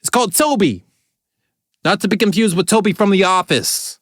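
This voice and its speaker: A man with a voice going hoarse, chatting loosely as he reacts to music, with lots of filler words and swearing. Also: high-pitched, animated, normal speed, a bit bright, no room sound, clean studio sound. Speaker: caricature artist reacting to music. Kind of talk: casual reaction commentary.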